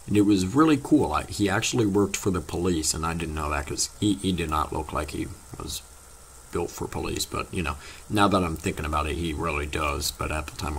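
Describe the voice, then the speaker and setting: A teenage boy, slightly hoarse from losing his voice, chatting casually to his viewers in a rambling, halting way full of fillers. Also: extremely low pitch, a bit bright, a little hiss or hum. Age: teens. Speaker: vlogger. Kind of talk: casual vlog chat to viewers.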